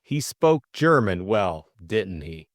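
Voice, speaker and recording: A man voicing a breezy, excitable young English gentleman sleuth in an audiobook, full of upper-class slang and exclamations. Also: lowish voice, slow pace, dry recording. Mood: shrewd, earnest.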